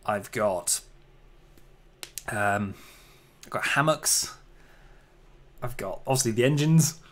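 A man's voice: mid-range voice, lively intonation, slow pace. A man chatting casually while livestreaming his 3D modeling work, self-deprecating and prone to little exclamations.

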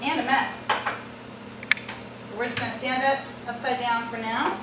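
A woman's voice: a little high, flat delivery, unhurried. Roomy, noisy kitchen recording of an American woman. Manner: chatty, instructive, addresses viewers directly. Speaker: cooking show host and chef. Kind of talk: casual cooking demonstration. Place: home-style kitchen at the stove, indoors. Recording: roomy, distant mic, loud kitchen noise. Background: sizzling pan, clattering pots and stirring.

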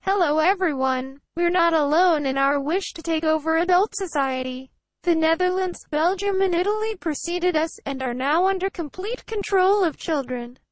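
A young boy leader, voiced by a performer, addresses adults in an extremely high voice. His scripted speech is formal and declarative, with a dry sound in an audio drama. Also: normal speed, no room sound. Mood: confident, declarative.

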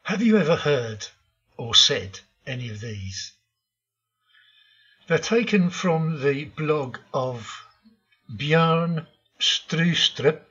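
A man's voice: fairly high pitch, expressive, very slow, long pauses, a bit bright, breathy edge, dull audio. An older man hosting a programming tutorial, talking through slides in a frank, opinionated way with frequent ums. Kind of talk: narrated slide lesson.

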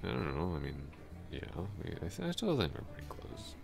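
A man playing a drunk, awkwardly smitten office coworker in an intimate ASMR roleplay, rambling, hesitant and trailing off in a slurred, gravelly voice. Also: rumbling low, huge pitch swings, a little fast, soft background music, full character voice. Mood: awkward, bashful.

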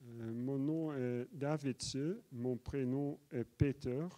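A man's voice: medium pitch, fairly even intonation, slow pace, mellow. Hesitant older Dutch man with a handheld mic. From Netherlands. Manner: hesitant, many fillers, switches languages. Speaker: audience member asking a question. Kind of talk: halting public question in a debate. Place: large conference hall. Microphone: handheld microphone.

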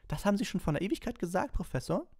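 A man's voice: sits high, very animated, racing, a little mellow, husky, no room sound, clean studio sound, voice pushed up, exaggerated voice. A man voicing an eager, awestruck teenage schoolgirl in an audiobook, with breathless, insistent questions. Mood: excited.

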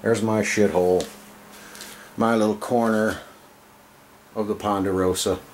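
A man's voice: on the low side, fairly even intonation, unhurried, slight background noise, low-quality recording. A man giving a casual, offhand room tour on a handheld camera, talking in salty, folksy, self-deprecating slang. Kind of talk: casual offhand vlog narration. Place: small home gun room, indoors. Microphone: handheld camera, operated by the speaker.

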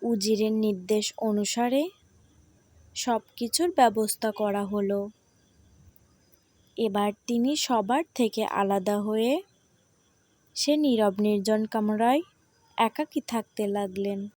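A woman narrating a scripted storybook tale in a measured, deliberate manner. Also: mid-range voice, warm tone, no room sound.

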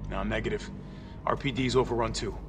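A man's voice: on the low side, steady tone, brisk, lightly acted. An actor playing a brash young male mercenary soldier, urgent and commanding, with game music behind. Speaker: game character.

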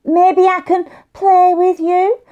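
A woman's voice: extremely high pitch, little pitch movement, slow, warm tone, lo-fi sound, pitched above the performer's own voice. A woman voicing a friendly, eager little boy in a storybook.

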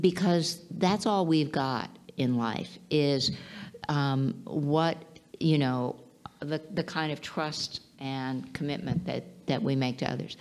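A woman's voice: extremely low pitch, darkish tone. A woman entrepreneur answering on a stage panel in a large auditorium, talking with many restarts and fillers.

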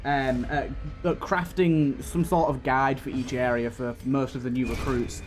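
A man's voice: mid-range voice, steady tone, unhurried, faint music behind. A man giving casual let's-play commentary, thinking aloud as he plays. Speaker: gaming video host.

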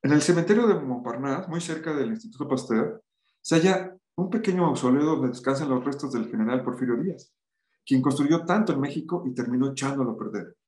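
A man's voice: medium pitch, a little fast, a little mellow. Man lecturing in an echoey room. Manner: reads aloud with asides and restarts. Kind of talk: reading and commenting in a lecture.

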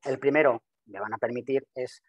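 A man in his thirties who is not a native speaker, giving a webinar presentation over a video call, quick and full of restarts and repeated words. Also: medium pitch, no room sound, highs cut off. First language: not Spanish. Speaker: webinar presenter, systems engineer. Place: home office with virtual background. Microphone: video call webcam.

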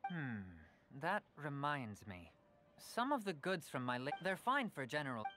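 Lively, wheedling merchant man. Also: fairly high pitch, measured pace, slight background noise, heavily acted. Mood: insistent. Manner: theatrical, persuasive, chuckling salesman patter. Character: wheedling, jovial male merchant of goods and textiles. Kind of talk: video game voice acting.

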